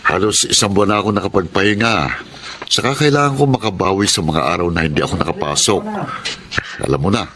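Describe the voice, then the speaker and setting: An actor playing an earnest middle-aged Filipino father who gives gentle, measured counsel in a radio drama. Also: low voice, crowd noise, close to an everyday voice. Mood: fatherly advice. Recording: music bed under the voice. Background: dramatic background music.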